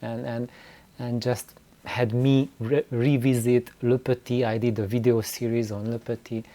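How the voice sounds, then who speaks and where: A man hosts a casual, unscripted vlog in a mellow, relaxed voice of middling pitch. He takes his time, speaking slowly and haltingly. He rambles and often repeats a word as he goes. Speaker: YouTube host of a DIY loudspeaker channel. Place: small room indoors.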